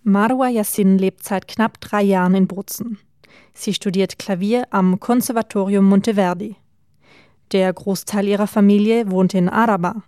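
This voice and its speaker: A female news reporter reads a scripted report at a measured, even pace. Her voice is mellow and stays flat in pitch. The recording is dry and close. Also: no room sound.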